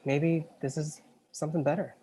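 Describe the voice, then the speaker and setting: An actor playing a nosy, sassy best-friend roommate in a screenplay table read, blunt and campy. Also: male voice, fairly high pitch, level tone, normal speed, warm tone, slight background noise, close to an everyday voice. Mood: teasing.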